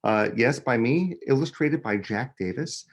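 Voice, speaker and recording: Male author, picture-book read-aloud, highly varied pitch, slow pace, dramatic emphasis on key words. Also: animated, lo-fi sound.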